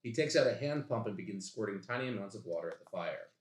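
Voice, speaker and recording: A man with a lowish voice talks quickly and casually, as a guest on a comedy podcast. The sound is roomy and echoey. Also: animated, a little hiss or hum. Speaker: podcast guest and sketch writer. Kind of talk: casual chat and stage-direction reading.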